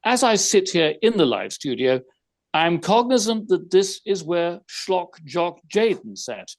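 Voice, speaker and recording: A man's voice: high-pitched, very animated, a little slow, full character voice. An actor playing a probing private detective in an audio drama, formal and precise as he questions suspects. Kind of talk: audio theater acting.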